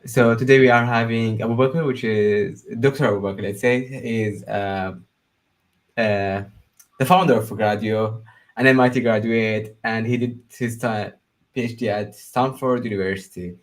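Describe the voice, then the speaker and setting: A man hosting a live stream, welcoming and introducing a guest in a slightly halting way, with fillers. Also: lowish voice, fairly even intonation.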